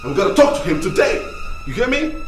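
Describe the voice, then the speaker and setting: A desperate, possessive lover in a film drama. His voice sits high and leaps all over the place, lurching from begging to yelling. A loud film score runs underneath. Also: very animated, fast talker, music in the background. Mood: anxious. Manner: swings between pleading and shouting. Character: desperate possessive man clinging to his love. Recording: film score playing behind.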